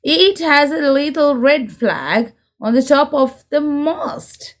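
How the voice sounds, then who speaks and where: Woman reading as fussy old grandpa pig, high-voiced. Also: animated, takes it slowly. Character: elderly grandfather pig, fussy sailing club member.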